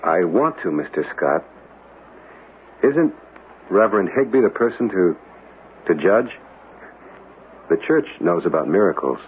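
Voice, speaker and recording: A man's voice: low-pitched, very animated, measured pace, long pauses. An actor playing a polite, inquisitive young man in a radio mystery, courteous and questioning. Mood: earnest, probing. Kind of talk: radio mystery drama acting. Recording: muffled old radio broadcast, faint noise.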